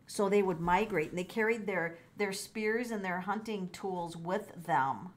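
A woman's voice: lowish voice, measured pace. A middle-aged woman doing a casual tarot reading, musing aloud, exclaiming and repeating her phrases. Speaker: tarot reader.

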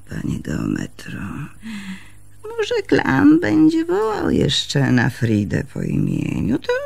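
An actress playing a shrewd, protective middle-aged innkeeper's wife in a radio play, emphatic and deliberate, with dramatic pauses. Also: female voice, rumbling low, vocal fry, a little mellow, breathy edge, exaggerated voice. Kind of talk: Polish radio play acting.

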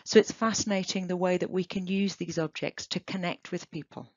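A woman's voice: fairly low pitch, steady tone, no echo. A woman giving an enthusiastic conference lecture on archaeology over slides. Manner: enthusiastic, measured, with occasional "um" and restarts. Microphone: computer mic on a video call. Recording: dull lo-fi online talk.

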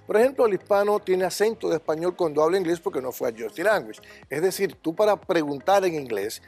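An older man with a slightly raised pitch and a husky edge, talking in a confident, instructive way. He is being interviewed on a television studio set through a lapel mic, and faint music plays underneath. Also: a little mellow. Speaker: language school director.

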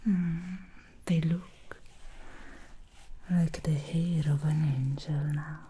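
A woman's voice: sits low, steady tone, slow, warm tone, a little hiss or hum. A woman playing a sly, aloof female demon hair stylist in an ASMR roleplay, whispering and teasing, disdainful of mortals.

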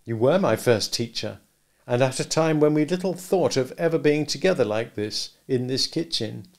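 A man's voice: mid-range voice, lively intonation, normal speed, creaky, a little mellow, voice pushed up. A man voicing a restless, discontented young village man who speaks earnestly and impatiently.

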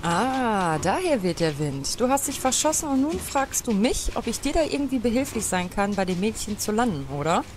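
A woman's voice: a little high, sing-song, moderate pace, hardly any pauses, slight background noise. A woman playing a teasing, helpful cousin in an audio drama, talking in a familiar way. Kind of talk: German audio drama acting.

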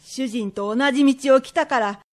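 Woman acting as a wary merchant's wife in an old japan radio play, high voice, curt and skeptical. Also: moderate pace, close to an everyday voice. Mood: guarded, curt.

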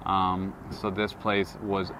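A relaxed man in his thirties speaks in a deep, low voice and rambles easily through his story. He sits outdoors, recorded from a distance by the camera, with steady street noise behind him. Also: slow. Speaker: vlog co-host. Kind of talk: casual travel chat. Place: outdoors beside a travel trailer.

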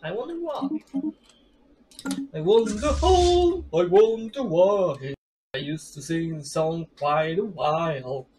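Casual male streamer, 30s, unhurried delivery, self-mocking, home desk mic. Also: high voice, expressive, a little mellow, slight background noise, low-quality recording. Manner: rambling, chatty, self-deprecating, laughs often. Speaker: gaming streamer. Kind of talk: casual let's play commentary. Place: home room with gaming chair.

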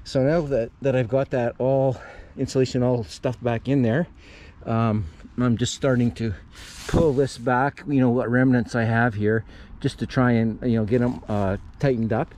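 A man with a dark, relaxed, mid-pitched voice walks through a DIY repair in a casual, folksy, halting way. He is recorded outdoors on an action camera, with faint noise behind him. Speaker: host of DIY renovation channel. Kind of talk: casual hands-on repair tutorial. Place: outdoors under a raised mobile home.

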